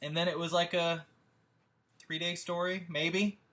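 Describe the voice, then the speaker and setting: A man's voice: a little high, little pitch movement, a little slow, pushed and bright. A man, a producer and director, hosting a table read in a casual, rambling chat full of fillers and restarts. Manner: hesitant, many fillers and restarts.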